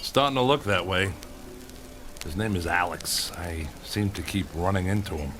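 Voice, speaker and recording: A man's voice: deep voice, normal speed, heavy background noise, natural voice. An actor playing a blunt, skeptical male author on the trail of UFO evidence, speaking in a dry, terse radio drama style. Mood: dry, guarded. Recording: loud music under the voice.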